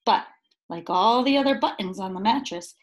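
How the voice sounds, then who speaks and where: A woman reading a picture book aloud to young children, expressively, with deliberate dramatic pauses and emphasis. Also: mid-range voice, animated.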